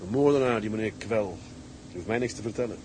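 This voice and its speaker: An actor playing a wry, plain-spoken ship's mate in naturalistic drama dialogue, dry and colloquial. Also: male voice, lowish voice, quick pace, a little mellow, slight background noise, lightly acted, poor audio. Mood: dry, friendly.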